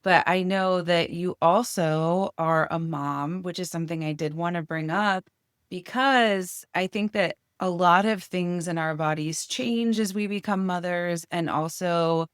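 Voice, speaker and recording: A woman hosts an interview and asks long, engaged questions. Her voice is deep for a woman, smooth and resonant, and it is recorded close and dry. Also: measured pace, dry recording. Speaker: host. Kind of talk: interview conversation.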